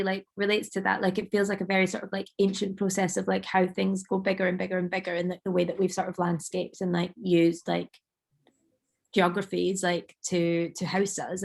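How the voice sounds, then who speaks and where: A woman artist talking casually about her work, rambling with many fillers and a slightly croaky voice. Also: on the low side. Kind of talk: casual conversation.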